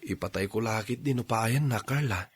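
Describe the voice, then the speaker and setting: Low, husky Ilocano suitor played by a man. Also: normal speed, dry recording. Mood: coaxing. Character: earnest male suitor courting a middle-aged woman. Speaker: radio drama host and actor. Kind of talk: Ilocano radio drama acting.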